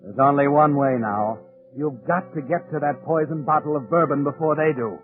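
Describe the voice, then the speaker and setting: An actor playing an ominous, taunting mystery narrator in 1940s radio suspense, dramatic and insinuating. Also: male voice, on the high side, moderate pace, faint music behind, natural voice. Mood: taunting, accusing. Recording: tinny 1940s radio recording.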